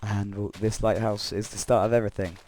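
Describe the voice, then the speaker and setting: A young man with a croaky voice from being unwell, rambling through gameplay commentary and a story, correcting himself often with chuckling asides. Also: lowish voice, flat delivery, fairly quick, a little mellow, a little hiss or hum. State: croaky voice from illness. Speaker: Minecraft let's player.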